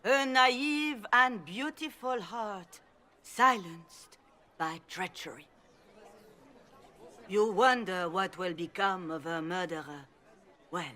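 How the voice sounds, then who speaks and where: A woman's voice: medium pitch, a bit bright. An actress playing a stern older religious mother in a fantasy game, declaiming pompously to the public. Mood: solemn. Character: stern older Chantry mother denouncing a heretic.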